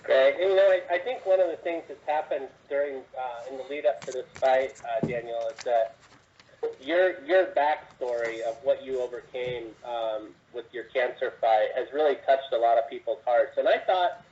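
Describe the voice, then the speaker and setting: Man, sports reporter, medium pitch, rambling conversational delivery, distant phone audio. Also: moderate pace, darkish tone, a little hiss or hum. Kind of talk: conversational, rambling interview questions. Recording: dull, distant phone line.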